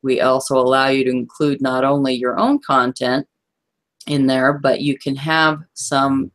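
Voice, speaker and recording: A hoarse woman presenting in a webinar, walking listeners through a chart and checking that they follow. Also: rumbling low, flat delivery, unhurried. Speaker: guest presenter.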